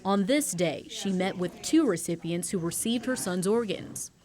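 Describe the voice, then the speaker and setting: A woman's voice: mid-range voice, expressive, normal speed, a little mellow. A woman reading scripted narration for a television news report in the manner of a field reporter.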